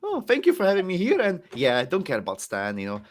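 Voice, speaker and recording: A man's voice: sits high, wildly swooping pitch, fast. Young Czech-accented man, casual guest, loose rambling delivery, self-mocking. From Czech Republic. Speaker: guest wrestler. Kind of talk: casual podcast chat.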